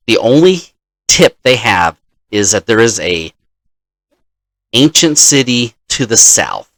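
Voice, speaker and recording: Slow-paced man telling game stories. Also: mid-range voice, sing-song, fairly bright tone, no room sound. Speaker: dungeon master recounting game sessions. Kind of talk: casual storytelling to camera.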